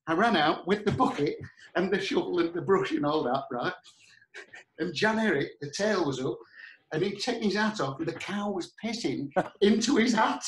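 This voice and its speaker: A man with a Northern English accent, telling comic anecdotes in an animated, chatty, earthy and colloquial way as a podcast guest. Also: sits high, slight background noise. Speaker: podcast guest, pantomime performer. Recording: roomy, echoey sound.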